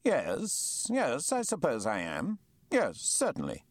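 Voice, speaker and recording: A man voicing a hearty, confident naval captain who speaks briskly and genially. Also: medium pitch, huge pitch swings, no echo, pitched above the performer's own voice, heavily acted.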